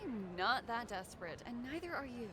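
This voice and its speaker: A woman's voice: a little high, big pitch swings, a little fast, noisy background. An actress playing a wry, playful, chatty young woman in a video game. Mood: informative, wry. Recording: music behind the voice.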